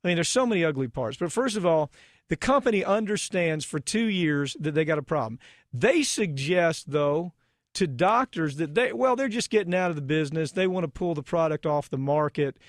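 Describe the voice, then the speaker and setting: A man's voice: fairly high pitch, expressive, moderate pace, no room sound, clean studio sound. An American man hosting a radio talk show, speaking emphatically and indignantly with rhetorical questions.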